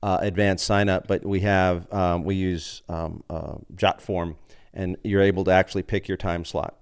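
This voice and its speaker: A man's voice: deep voice, little pitch movement, moderate pace, smooth. A man, a podcast guest who chats casually and acts out the people he quotes while telling stories. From United States. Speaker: podcast guest, open mic organizer. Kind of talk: casual interview chat.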